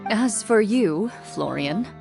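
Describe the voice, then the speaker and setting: A woman playing a composed, stoic duelist and hunter in a video game, speaking in a calm, measured, formal way. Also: medium pitch, vocal fry, music in the background. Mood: stern, forgiving.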